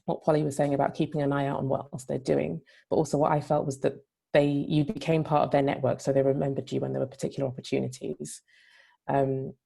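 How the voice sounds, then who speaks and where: A woman's voice: very deep voice, gravelly, dark tone, breathy edge. A woman speaking as a panel guest, explaining things in a considered, reflective way.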